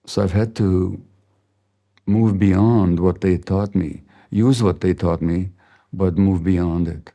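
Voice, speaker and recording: Older man, deep mellow measured voice. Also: steady tone. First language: Hungarian. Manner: slow, measured, reflective delivery. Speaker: physician teaching a masterclass. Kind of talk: spoken masterclass lecture.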